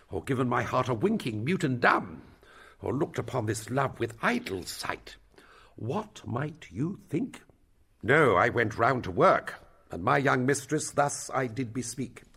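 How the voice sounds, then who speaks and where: Man acting gravelly, pompous old royal counsellor. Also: medium pitch, fairly bright tone, full character voice. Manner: pompous, rambling, theatrical delivery with drawn-out words. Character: wordy, self-important elderly male royal counsellor, eager schemer. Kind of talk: Shakespearean stage-play acting.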